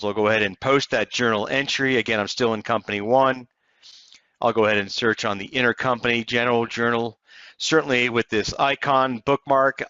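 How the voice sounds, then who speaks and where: A man narrating a software tutorial step by step in an explanatory, conversational way. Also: mid-range voice, clear, resonant tone, no echo, highs cut off. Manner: explanatory, conversational walk-through. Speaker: software demonstrator.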